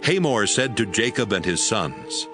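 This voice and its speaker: Male narrator for audio Bible, fairly low voice, scripted storytelling over clear music. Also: big pitch swings, unhurried. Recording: music bed under the narration.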